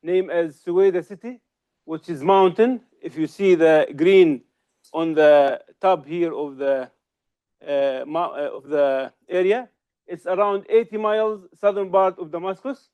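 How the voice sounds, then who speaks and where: A middle-aged man from Syria, giving an academic seminar lecture in a halting way with many hesitations and restarts. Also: sits high, a little mellow, smooth. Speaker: research associate giving a seminar. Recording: slightly dull lo-fi recording.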